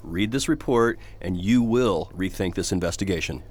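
An actor playing a calm, unimpressed head of a space police service in a radio drama, dry and curt. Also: male voice, low-pitched, moderate pace.

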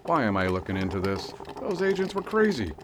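A man plays an exasperated small-town sheriff in a radio play. His lowish voice leaps hugely in pitch as he grumbles wryly, with clear noise behind. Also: sing-song, brisk. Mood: wry, uneasy. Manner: wry, self-deprecating muttering. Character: wry, exasperated male local sheriff. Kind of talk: audio drama acting.

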